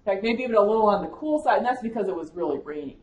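A woman's voice: mid-range voice, animated, racing, highs cut off. A woman with a Wisconsin accent, giving a conversational conference lecture as an agricultural climatologist, with frequent fillers. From Michigan, USA. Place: conference room. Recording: echoey lecture room.

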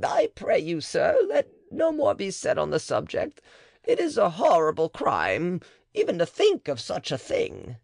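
Male narrator as cagey old midwife woman, raised gravelly pitch, dry close read. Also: huge pitch swings, unhurried, darkish tone, dry recording, heavily acted. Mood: reluctant. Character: cautious scheming older female midwife. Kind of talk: audiobook character reading.

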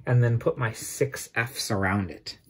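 A man's voice: medium pitch, unhurried, slightly rough. A man teaching chemistry, explaining step by step in an informal tutorial style.

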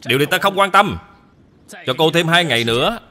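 Cartoon villain: imperious, bullying rich debt collector, very forceful bright voice, swinging intonation. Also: male voice, fairly high pitch, animated, fairly quick. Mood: stern, threatening. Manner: imperious, barking demands. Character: stout, haughty, bullying male debt collector landlord. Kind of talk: animated film dubbing.

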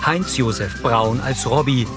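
A man reading scripted audio description in a measured, matter-of-fact way. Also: medium pitch. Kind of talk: scripted narration, present-tense audio description. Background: music.

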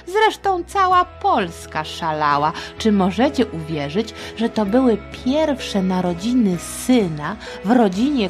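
A middle-aged woman narrating history for children in a colloquial, playful way with affectionate diminutives. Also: medium pitch. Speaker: podcast host and storyteller for children.

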